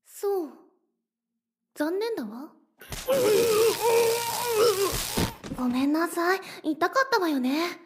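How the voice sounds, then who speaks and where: Casting: obsessive lovestruck young woman, extremely high pitch, breathy, sweetly menacing, anime audio drama. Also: animated, measured pace, heavy background noise. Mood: calm menace. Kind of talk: Japanese anime-style voice drama acting.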